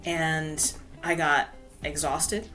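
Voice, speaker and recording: A woman's voice: deep voice, little pitch movement, very slow, pushed and bright, soft background music, low-quality recording. A woman from Hawaii, a guest author telling her story in a casual podcast interview, filling her talk with "you know". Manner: chatty, many "you know" fillers.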